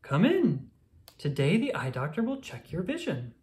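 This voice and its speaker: A woman's voice: fairly low pitch, huge pitch swings, moderate pace, a little mellow. A woman voicing a cheerful, welcoming owl receptionist in a children's storybook, with a sing-song way of greeting. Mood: cheery, welcoming.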